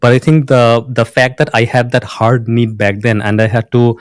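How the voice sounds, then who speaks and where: A man's voice: medium pitch, level tone, normal speed, dry recording, studio quality. A man from Bangladesh, a founder being interviewed, who restarts often and uses many fillers. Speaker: founder and guest. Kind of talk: casual interview talk.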